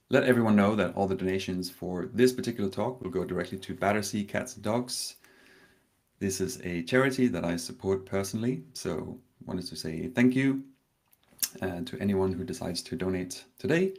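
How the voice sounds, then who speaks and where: A man from Denmark speaks in a slightly low voice, giving a live talk over a video call. He tells a personal story carefully, with pauses and a hint of nerves. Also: gravelly, a little mellow. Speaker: conference speaker. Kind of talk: live online conference talk.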